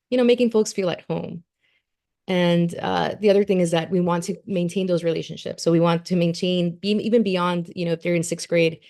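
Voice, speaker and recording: A woman with a low, gravelly voice talks a community group through her youth science program. She is earnest and loose, with plenty of ums and restarts, and the fry stays steady under her words. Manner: earnest, many fillers and restarts. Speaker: education program coordinator. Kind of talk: presentation at a community meeting.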